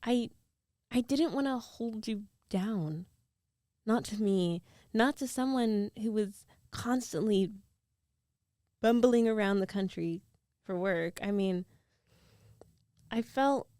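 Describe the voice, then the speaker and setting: A woman playing a concerned, teasing young ex-girlfriend in an intimate ASMR roleplay, speaking softly and haltingly into a close microphone. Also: medium pitch, dry recording, close to an everyday voice. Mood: hesitant, regretful.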